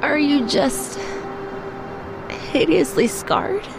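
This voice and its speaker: A tough young female cat burglar talks slowly in a slightly high voice. She is guarded and defiant, in audio drama style, over loud background noise. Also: lively intonation, exaggerated voice. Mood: searching. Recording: clear noise and ambience behind voice.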